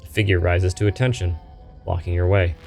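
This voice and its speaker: A man's voice: sits low, fairly even intonation, brisk, creaky, a little mellow. A man reading a story game aloud and commenting on it as a gaming host, with music playing behind him. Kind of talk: let's play commentary and narration read-aloud.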